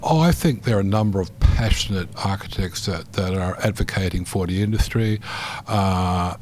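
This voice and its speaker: A very deep male voice speaks with care, pausing often and restarting his sentences as he gives an interview. A faint hiss of noise sits underneath him. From New Zealand. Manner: measured, many ums and restarts. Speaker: architect guest. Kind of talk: interview answers.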